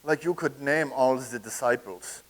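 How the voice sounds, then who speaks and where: A man's voice: mid-range voice, unhurried, natural voice, poor audio. An actor in a comedic staged reading playing a blustering, scoffing skeptic who stammers as he starts. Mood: dismissive. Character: blustering skeptical man, stammering, naive admirer of tyrants.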